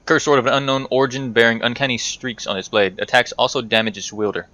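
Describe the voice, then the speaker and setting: A man's voice: medium pitch, steady tone, quick pace, pushed and bright. A man giving casual, rambling commentary over a video game playthrough, chatting to himself and to the game's characters. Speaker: gaming video host.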